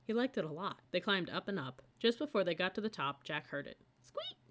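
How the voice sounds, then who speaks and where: A woman's voice: lowish voice, expressive, a little fast, fairly bright tone, a little hiss or hum. A woman reading a children's audiobook as scripted story narration. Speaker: audiobook reader.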